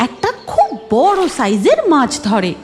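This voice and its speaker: Female cartoon narrator, high and very animated, scripted storytelling over music. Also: unhurried. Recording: music behind the voice.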